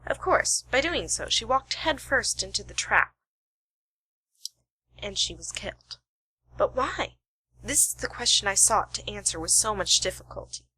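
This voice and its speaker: A woman's voice: mid-range voice, expressive, unhurried, long pauses, sharp tone, husky, full character voice, low-quality recording. A woman playing a shrewd, self-assured detective in an amateur radio play, explaining with dramatic deliberation. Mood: assured, revealing.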